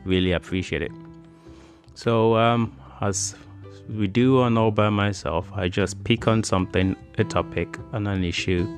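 A man's voice: fairly low pitch, steady tone, a little slow, faint music behind. A man hosting a podcast in an informal, chatty, conversational way. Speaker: podcast host.

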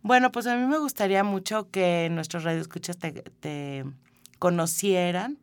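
A woman's voice: lowish voice, moderate pace, clean tone, dry recording. A Mexican woman hosting a radio show, interviewing a guest in a friendly, chatty way. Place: radio studio.

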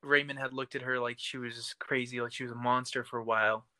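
A man's voice: mid-range voice, level tone, fairly quick, bright, forceful tone, no echo. A young man co-hosting a podcast, talking about television in an informal, conversational way. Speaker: podcast co-host. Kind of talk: casual TV discussion.

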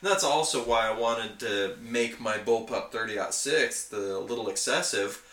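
A man's voice: fairly low pitch, measured pace, a bit bright, echoey room. A man co-hosting a podcast, chatting casually and explaining technical details like a keen hobbyist. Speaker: podcast co-host.

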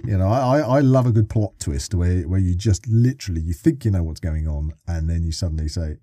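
Low, very dark-voiced older man. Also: brisk, dry recording, clean studio sound. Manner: chatty, jokey, teasing. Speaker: podcast co-host interviewer. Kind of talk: casual interview chat about sci-fi.

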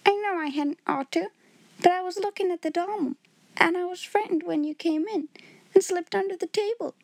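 A woman's voice: very high voice, moderate pace, vocal fry, darkish tone, dry recording, voice pushed up. Woman putting on a tearful young scullery maid. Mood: pleading. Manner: pleading, sobbing, drops letters in speech.

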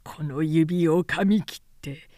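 A woman narrator voicing a defiant old male physician under torture, declaiming archaic words slowly and fiercely in a storybook reading. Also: fairly high pitch, mellow, no echo, studio quality. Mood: grim resolve. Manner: slow, drawn-out declaiming with archaic speech.

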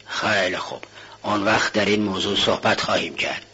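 A man with a husky voice playing a greedy, stern old widow who works as a pawnbroker in a storybook reading, curt and haggling, on a dull lo-fi recording. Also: fairly low pitch, pushed and bright, heavily acted. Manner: curt and haggling, dry and stingy.

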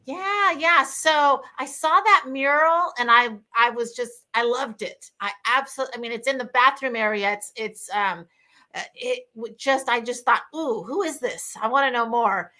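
Bright-voiced woman host, enthusiastic. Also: on the high side, normal speed. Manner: enthusiastic, many fillers and restarts. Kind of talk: casual interview.

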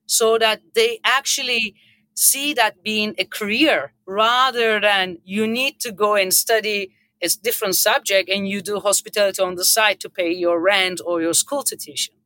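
A middle-aged woman with a bright, forceful voice and a Turkish accent. She talks off the cuff as an industry executive on a panel, earnest and emphatic, with medium pitch. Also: fairly even intonation, normal speed. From Turkey. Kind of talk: unscripted panel discussion.

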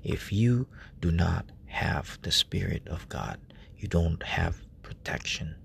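A man preaching softly at night in a relative's room, speaking in a hushed, halting way with many fillers. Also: sits low, lively intonation, gravelly, a little hiss or hum. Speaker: lay preacher. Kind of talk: informal late-night sermon.

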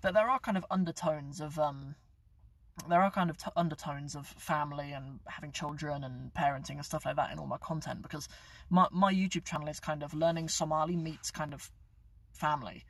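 Guy, young adult, rambling live-stream host, fairly high voice, dry car recording. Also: fairly even intonation, brisk, a bit bright, no room sound, distant mic. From England. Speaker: YouTube channel host. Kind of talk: casual live-stream chat. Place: inside his parked car.

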